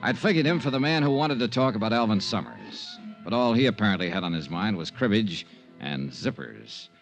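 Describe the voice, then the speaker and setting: An actor playing a wry, tough American insurance investigator in a 1950s radio detective drama, with a dry, clipped delivery. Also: male voice, fairly low pitch, sing-song, a little fast, vocal fry, soft background music. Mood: wry narration. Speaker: lead actor and narrator.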